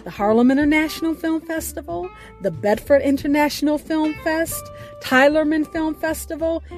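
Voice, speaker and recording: A woman radio host reading a scripted show introduction with deliberate, announcer-like phrasing. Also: high-pitched, darkish tone. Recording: music bed behind the voice. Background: music.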